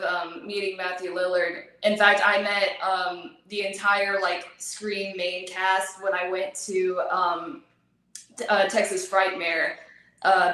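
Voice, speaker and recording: Echoey, talkative woman guest, a bit hoarse. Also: medium pitch, bright, forceful tone. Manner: talkative, filler-heavy, laughs easily. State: losing her voice. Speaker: actress guest. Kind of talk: casual interview chat. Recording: very echoey room.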